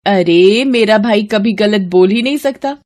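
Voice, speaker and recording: A woman voicing a scheming, sharp-tongued young daughter-in-law in a moral story, scolding and demanding. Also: mid-range voice, unhurried, close to an everyday voice. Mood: scheming, pleased.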